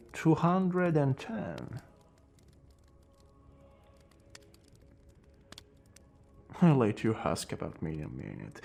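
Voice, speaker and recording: A man playing a courteous, calm and wry centuries-old vampire in an ASMR roleplay, speaking in a hushed voice that drifts into whispers with theatrical pauses. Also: medium pitch. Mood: amused, wry. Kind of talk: ASMR roleplay addressed to the listener.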